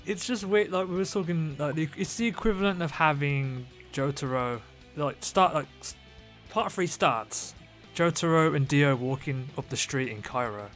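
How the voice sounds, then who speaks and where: A man's voice: fairly high pitch, moderate pace, fairly bright tone. A man hosting a podcast in casual chat, joking in a mock-earnest, sweary way. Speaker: podcast host. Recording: music playing behind the voice.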